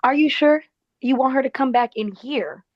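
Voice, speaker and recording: A woman's voice: high-pitched, moderate pace, no room sound. A woman acting a sassy, protective office colleague in a drama table read, frank and colloquial, on a slightly muffled recording. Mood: caring, candid.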